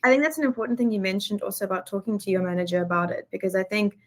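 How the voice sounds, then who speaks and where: A woman hosting a live Q&A, asking questions and affirming her guest with soft murmurs. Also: mid-range voice, racing. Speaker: interview host. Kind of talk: live Q&A interview.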